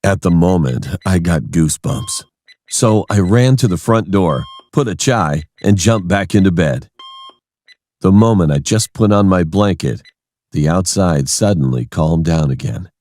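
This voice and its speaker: Very deep-voiced male horror narrator. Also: wildly swooping pitch, unhurried, darkish tone. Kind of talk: scripted first-person horror narration.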